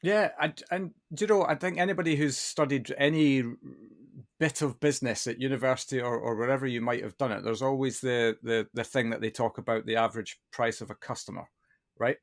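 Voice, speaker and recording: A middle-aged man hosting a podcast interview, speaking in a measured, thinking-aloud way. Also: medium pitch, steady tone. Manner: measured, thinks aloud with restarts and tag questions. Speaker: podcast host. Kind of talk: conversational interview.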